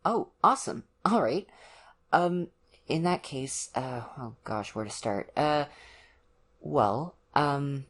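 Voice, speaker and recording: ASMR roleplay boyfriend, played by an actor: college-age, awkward and earnest, gentle, halting delivery, medium pitch. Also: lively intonation, full character voice. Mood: awkward, tentative. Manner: hesitant, gentle, awkward, many ums and pauses. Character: ditzy, awkward, earnest young college boyfriend, caring and nervous. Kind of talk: ASMR roleplay, one-sided conversation with the listener.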